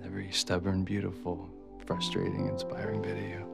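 An earnest young male playwright, deep gravelly voice, heartfelt, film music behind. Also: flat delivery, brisk. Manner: heartfelt, speaking at a microphone. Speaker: playwright speaking at a microphone. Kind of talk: film drama acting. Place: cozy coffee shop at night. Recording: film soundtrack with music underneath.

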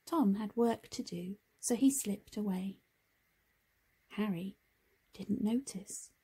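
A woman narrating a children's picture book, expressive and deliberate in her phrasing. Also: medium pitch, breathy edge. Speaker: storybook reader. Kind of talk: scripted children's story narration.

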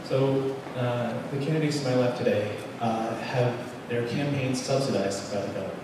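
A young man, a political candidate, giving a halting closing statement full of fillers into a handheld mic in a large banquet hall. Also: mid-range voice. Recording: echoey hall from far off, background noise.

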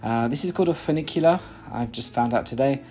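A man's voice: mid-range voice, quick pace, a little mellow, soft background music. A British man in his thirties vlogging casually from a small room, rambling with lots of fillers and restarts. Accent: British English. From Britain. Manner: chatty, rambling, many "uh"s and restarts. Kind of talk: casual vlog chat and voice-over narration. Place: small plain room indoors.

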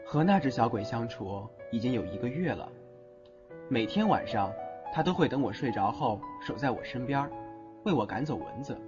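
A man playing a smitten, easily flustered young man in an audio drama, expressive, tender and a little exasperated. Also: mid-range voice, lightly acted. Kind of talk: audio drama acting with inner monologue. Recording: muffled lo-fi audio drama over music.

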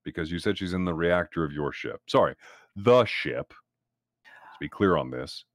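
A man roleplaying a calculating corporate representative, talking in wordy, formal, deliberate phrases as he improvises. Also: deep voice, lively intonation, dry recording. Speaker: tabletop game player. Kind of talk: in-character improvised roleplay dialogue.

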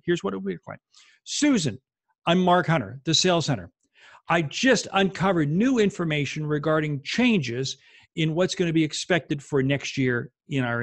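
A man's voice: sits high, no echo. A man in his fifties giving an instructional talk as a sales coach, emphatic, in short punchy statements, close to a desk microphone. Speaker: sales coach and video host. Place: small home office, indoors.

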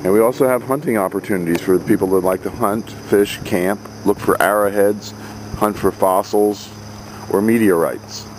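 A man with a lowish voice gives a quick outdoor sales pitch and lists services in a brisk run. There is faint noise around him, and a handheld camera picks him up. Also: steady tone, rough recording. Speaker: tree farm owner. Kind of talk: spoken advertisement pitch.

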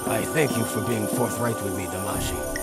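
A man's voice: lowish voice, steady tone, normal speed, lightly acted. An actor playing an earnest, weary older male champion in a video game cutscene, speaking formally. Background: music.

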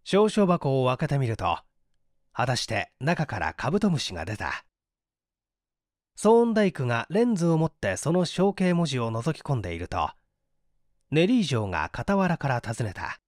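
Man, expressive storyteller, animated intonation, dry close sound, literary narration. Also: mid-range voice, normal speed, no room sound. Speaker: audiobook narrator, stage actor. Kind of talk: dramatic scripted literary narration.

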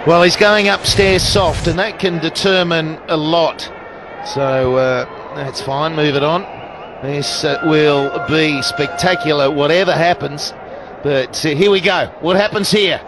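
A man giving live cricket commentary, bursting into shouts at big moments and explaining the rules between them. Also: fairly high pitch, steady tone, unhurried, background noise. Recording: broadcast commentary over loud crowd.

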